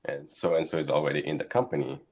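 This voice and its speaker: A man's voice: extremely low pitch, fairly even intonation, fast, darkish tone. A man, a guest scientist explaining his work steadily in a casual interview over a video call. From Haiti. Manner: thoughtful, explains steadily with frequent ums.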